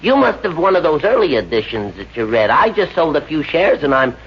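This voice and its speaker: A man's voice: fairly high pitch, big pitch swings, a little fast, a little hiss or hum. An actor playing a gullible, hapless young man with a hesitant stammer, in a 1950s radio comedy. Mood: smug, breezy. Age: young adult. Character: gullible, hapless lovesick young man turned smug rich. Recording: muffled old radio recording.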